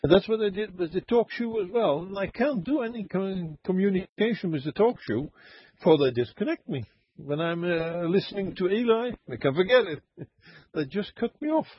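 A man calling into an online voice chat show, telling a story in a halting, rambling way with many fillers and frequent laughs. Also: high voice, lively intonation, breathy edge, no echo, dull audio. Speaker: caller.

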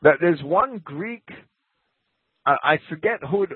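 Husky man with a lively lilting voice. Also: on the high side, a little slow, no room sound. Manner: hesitant, many restarts, cautious qualifications. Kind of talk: casual radio talk. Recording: phone-like radio audio.

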